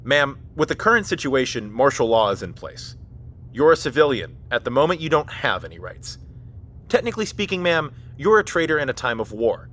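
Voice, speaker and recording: A man's voice: mid-range voice, sing-song, fairly quick, fairly bright tone. A man playing a stern, by-the-book military officer in an audio drama, speaking formally and procedurally. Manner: formal, procedural, addresses others as sir and ma'am.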